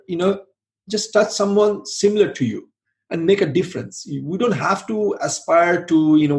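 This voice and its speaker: A man's voice: on the high side, darkish tone. A middle-aged man from Bangladesh answering interview questions in a loose, rambling way, full of "you know" and restarts. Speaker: podcast guest, IT manager and founder.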